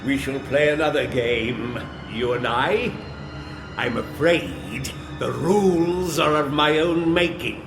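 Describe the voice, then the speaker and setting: Very slow, sinister villain. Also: male voice, on the high side, echoey room, music in the background. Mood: menacing. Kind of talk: audio drama acting.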